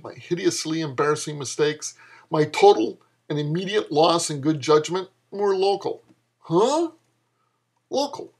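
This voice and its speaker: A man's voice: a little high, creaky. A man in his fifties giving a dramatic reading of his own dialogue-driven fiction in a wry, exasperated manner. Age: 50s. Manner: wry, exasperated delivery of an older mentor's lines.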